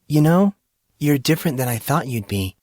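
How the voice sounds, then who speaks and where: Teen girl male narrator acting, higher voice, playful, self-assured, audiobook fantasy. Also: lively intonation, normal speed, darkish tone, no echo, studio quality. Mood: thoughtful. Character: playful, confident teenage girl student at formal dance.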